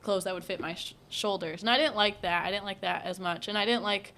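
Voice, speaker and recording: Casting: young adult woman, lively storyteller who laughs often, casual podcast guest. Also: medium pitch, fairly quick. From United States, Iranian parents. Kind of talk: casual chat.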